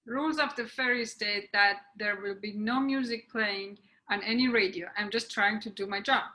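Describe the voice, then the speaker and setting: A woman's voice: mid-range voice, fairly even intonation, moderate pace, bright, forceful tone, lightly acted, rough recording. A woman voicing a police officer giving firm orders in a script reading. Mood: stern. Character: stern police officer on ferry. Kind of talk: readers theatre script reading.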